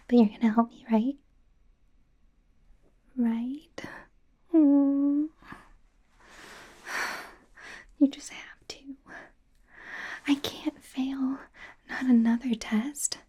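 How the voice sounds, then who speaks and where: Casting: actress for a nervous young she-werewolf medical student in whispered ASMR roleplay; soft mellow tone, higher-set voice, friendly but flustered and rambling. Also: steady tone, very slow, pauses often, husky, heavily acted. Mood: pleading, anxious. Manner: nervous, hesitant, rambling with stammered repeats.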